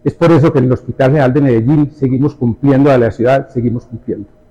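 A man's voice: mid-range voice, fairly even intonation, fast, dark tone, breathy edge. A man giving a formal statement as a manager. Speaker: hospital manager. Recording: slightly dull lo-fi sound.

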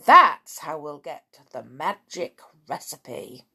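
A woman voicing an excitable, overbearing father in a children's story, exclaiming with bossy, impatient enthusiasm. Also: sits low, slow, husky, heavily acted. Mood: eager, bossy. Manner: exclamatory, bossy, breathlessly eager.

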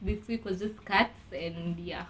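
A young woman chatting as a guest, low-key and understated, slipping into Swahili. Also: fairly even intonation, measured pace, reverberant. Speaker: podcast guest. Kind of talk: casual chat among friends. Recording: background noise.

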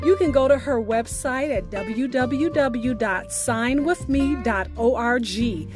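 A high-voiced woman radio host reads a scripted announcement at a slow pace. She enunciates carefully, and music plays clearly behind her. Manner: careful enunciation, spelling out details. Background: music bed.

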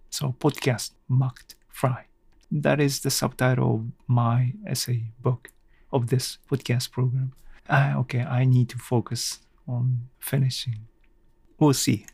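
A man from Japan, a native Japanese speaker with a Japanese accent, hosting a casual solo podcast and haltingly thinking aloud. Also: mid-range voice, fairly even intonation, vocal fry, a little mellow, husky, no room sound. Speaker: podcast host.

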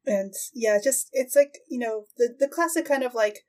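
Woman, slightly high voice, podcast host, casual recap, halting, giggly delivery. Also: a little mellow. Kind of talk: casual chat, recapping an anime.

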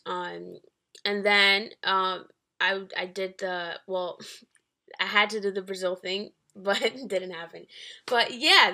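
A woman in her twenties talks casually as a podcast guest. Her voice is bright and forward with plenty of vocal fry, and she rambles a little hesitantly as she thinks aloud. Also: mid-range voice. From Dominican Republic and New York. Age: 20s. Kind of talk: casual chat.

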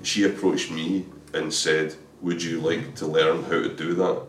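A very deep-voiced Scottish man talks openly about his life as a drummer, repeating words as he gathers his thoughts. He sits some way from the mic in a reverberant room, with a faint hiss behind him. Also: level tone, a little slow. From Scotland. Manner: stammering restarts, candid and chatty. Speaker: drummer guest. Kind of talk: casual interview chat. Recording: echoey room from a distance.

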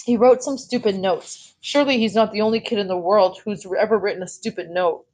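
A woman's voice: medium pitch, brisk, pitched above the performer's own voice, lightly acted, low-quality recording. An indignant, combative mother from a children's audiobook, acted out by a woman narrator. She is defensive and argues sharply, biting back at whoever she speaks to. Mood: fuming. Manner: sharp, interrupting, argumentative retorts.

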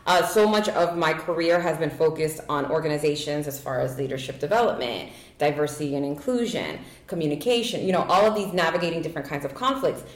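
A woman's voice: sits low, expressive, quick pace, few pauses, reverberant. A woman speaking confidently in a casual conversation, explaining her work as a guest.

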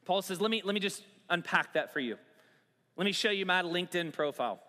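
Bright high-voiced man preaching in a hall. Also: lively intonation, a little fast. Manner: conversational preaching with pop-culture asides. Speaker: pastor. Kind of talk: sermon.

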